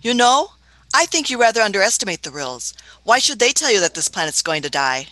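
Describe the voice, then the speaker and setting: A sharp, bright-voiced doctor of old-fashioned courtesy, acted by a woman for a radio play, recorded close and dry. The delivery is canny and doddering, with hesitations and polite skepticism. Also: medium pitch, very animated, fairly quick, no room sound. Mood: wry, probing.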